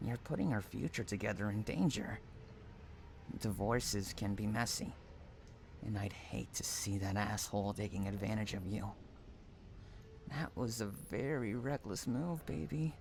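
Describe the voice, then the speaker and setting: A man's voice: on the low side, a little slow, gravelly, a little hiss or hum. A man acting an obsessive, unhinged stalker in an audio roleplay, cooing pet names and drawing out words between tenderness and anguish. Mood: disappointed, possessive. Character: obsessive, unhinged male stalker lover, sweetly possessive and menacing. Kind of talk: dramatic roleplay audio monologue.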